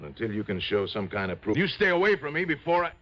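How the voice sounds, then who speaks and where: An actor playing a shady, guarded, menacing smuggler in an old radio crime drama. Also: male voice, medium pitch, very animated, fast talker. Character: shady, menacing male smuggler posing as importer. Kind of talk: 1950s-60s radio crime drama acting. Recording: dull vintage radio recording.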